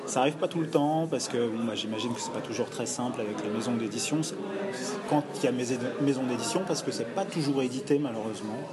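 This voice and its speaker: A man's voice: medium pitch, quick pace. A man chatting casually as a podcast guest, hesitant and often searching for his words. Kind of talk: casual chat about TV series. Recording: loud crowd noise around the voice. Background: loud crowd chatter.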